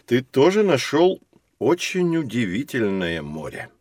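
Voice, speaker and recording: Genial, animated professor. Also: male voice, mid-range voice, unhurried, dry recording, clean studio sound. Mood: genial, encouraging. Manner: genial, encouraging. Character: kindly professor guiding two children. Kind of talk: children's educational audio play acting.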